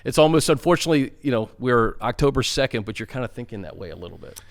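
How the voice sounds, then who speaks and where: Mid-pitched man chatting about sports. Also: expressive, quick pace. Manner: conversational, colloquial, frequently says "like". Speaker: sports talk show host. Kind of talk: casual sports talk and analysis.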